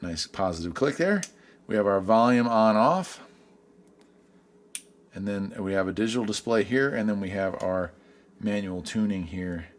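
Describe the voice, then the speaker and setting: A man's voice: lowish voice, expressive, moderate pace, long pauses. A man giving a casual product review in a folksy, easygoing way.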